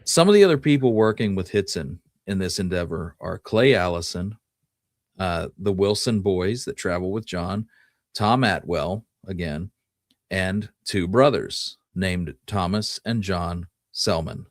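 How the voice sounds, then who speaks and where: Male podcast host, low register, dry close sound, conversational storyteller who lays out details. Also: measured pace, no echo. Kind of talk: casual history storytelling.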